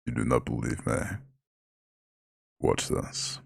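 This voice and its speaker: Abyssally deep, gravelly eldritch god. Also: male voice, monotone, no room sound, clean studio sound, exaggerated voice. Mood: proud, indignant. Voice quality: very deep gravelly husky growl. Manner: slow, soothing, formal speech with proud touches. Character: ancient male eldritch god, horned, imposing, gently caring. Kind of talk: ASMR roleplay voice acting.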